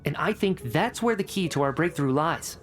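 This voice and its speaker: An actor playing a confident, determined researcher in a video game, articulate and deliberate in delivery. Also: mid-range voice, lively intonation, faint music behind, lightly acted. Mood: assured, defiant.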